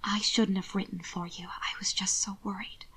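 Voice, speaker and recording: An anxious, gentle young lady from a storybook who speaks in a breathy whisper, as a woman reading aloud puts her on. Every word is hushed and careful. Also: mid-range voice, little pitch movement, normal speed, natural voice.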